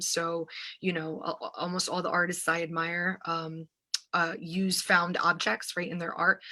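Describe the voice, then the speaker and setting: Bright-voiced American woman, lower register. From United States, New Jersey. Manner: hesitant, searching phrasing, often seeking agreement with "right". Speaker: visual artist and writer, interview guest. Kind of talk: reflective, conversational interview answers.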